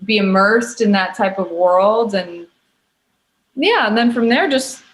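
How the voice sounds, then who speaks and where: A woman with a medium-pitched voice gives a casual answer on a video call panel. She speaks as an actor, informally, with loose and hedging phrasing. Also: animated, a little slow, roomy sound.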